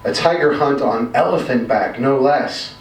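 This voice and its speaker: A man reading a short story aloud expressively, with wry, measured and emphatic delivery. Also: medium pitch. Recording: roomy, distant mic, faint background noise.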